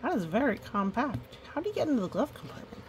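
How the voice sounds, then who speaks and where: A woman's voice: a little high, very animated, quick pace, a little mellow, slight background noise. A woman reading a picture book aloud with playful, chatty asides to the characters and listeners. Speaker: storytime reader.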